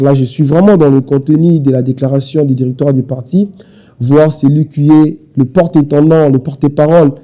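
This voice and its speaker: A man's voice: a little high, dark tone. A young man from Kinshasa with a Congolese French accent, a party spokesman speaking insistently in a televised interview. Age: young adult. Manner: insistent, repetitive speechmaking.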